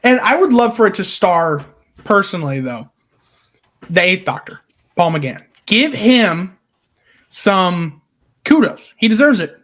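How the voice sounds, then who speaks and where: A man with a notably high voice, chatting casually as a podcast co-host. He is excitable and emphatic, pitching fan ideas with the odd swear word. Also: measured pace, long pauses.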